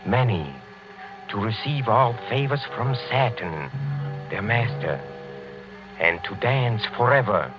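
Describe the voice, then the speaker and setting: Sinister aristocrat, very deep, measured menace, vintage 1940s radio thriller, dull recording. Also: male voice, lively intonation. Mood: dark, intense. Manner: deliberate, menacing, courteous with pauses. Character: sinister, brooding Hungarian count, proud of old family. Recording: muffled 1940s radio recording, music behind.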